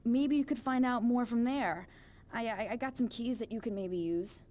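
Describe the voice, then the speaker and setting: A fairly high-pitched actress as a young woman in a thriller game, edgy and defensive. Her delivery is naturalistic and hesitant, with a stubborn streak under the nerves. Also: moderate pace, darkish tone, natural voice. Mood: nervous, evasive. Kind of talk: naturalistic dramatic game acting.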